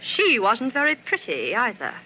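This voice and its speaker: An actress playing a jealous, anxious wife with a needling, theatrical 1940s radio delivery. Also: female voice, a little high, huge pitch swings, normal speed, highs cut off. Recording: noisy old 1940s radio recording.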